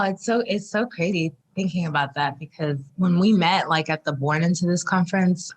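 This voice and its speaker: A woman's voice: lowish voice, rough recording. A young woman from Trinidad, a doula and guest, talking reflectively in a casual interview with many fillers and restarts. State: three months postpartum.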